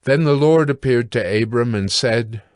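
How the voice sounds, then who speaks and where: A man narrating scripture from a script in a measured, formal reading manner. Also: mid-range voice, no room sound, clean studio sound.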